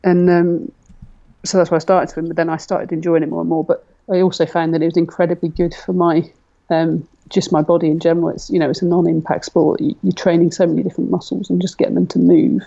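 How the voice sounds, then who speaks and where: Gravelly low-key woman guest. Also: quick pace, highs cut off. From Britain. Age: 40s. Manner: relaxed, thinking aloud with many fillers. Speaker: guest athlete. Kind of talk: casual conversational interview.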